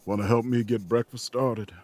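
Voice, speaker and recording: A man playing a folksy, easygoing, gently cheerful traveller in an audio drama. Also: medium pitch, steady tone, moderate pace, a little hiss or hum, lightly acted. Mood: relaxed. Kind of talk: naturalistic audio drama acting.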